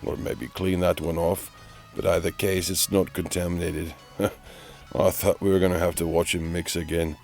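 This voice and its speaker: A man's voice: deep voice, level tone, creaky, darkish tone, faint music behind. A man playing a pompous, easily exasperated narrator who mocks a painter in a theatrical, mock-dramatic DIY voice-over. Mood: weary, sardonic. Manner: theatrical, pompous, drawn-out repetition.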